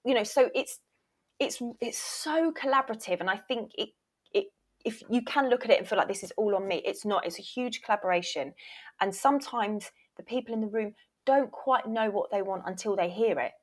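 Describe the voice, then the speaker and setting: A woman gives advice as an interview guest, speaking in a conversational, informal way. She stresses her points and readily voices imagined remarks from other people. Her pitch sits in an average range for a woman. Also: fairly quick. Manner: emphatic, informal, mildly sweary, acts out imagined quotes. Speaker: guest, voiceover reel producer and former agent.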